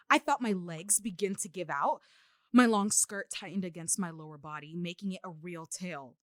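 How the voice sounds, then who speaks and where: A woman's voice: mid-range voice, a bit bright, natural voice. A woman playing an anxious young partygoer turning into a mermaid, reading a horror story in the first person over music.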